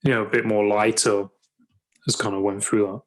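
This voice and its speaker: A podcast guest describing a feeling in a halting, hesitant way, leaning on "you know" and "kind of". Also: mid-range voice, level tone, low-quality recording. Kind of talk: casual reflective talk.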